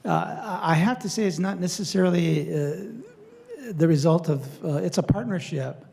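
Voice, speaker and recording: An older man lecturing an audience, his voice gravelly and fried at the edges. The tone is mellow and dark, and he stops often to search for words, filling the gaps with fillers and restarts. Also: on the high side. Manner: halting, many fillers and restarts. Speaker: lecturer on Native studies. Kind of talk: public talk with audience Q&A.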